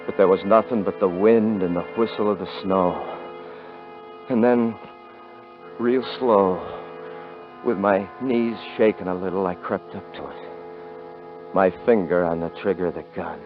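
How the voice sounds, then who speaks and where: An actor playing a cocky, rough-talking frontier hunter who also narrates, in a 1950s radio drama. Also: male voice, sits low, steady tone, a little slow, pauses often, music in the background. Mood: grim narration. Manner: rough rural grammar, boastful. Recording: muffled vintage radio recording.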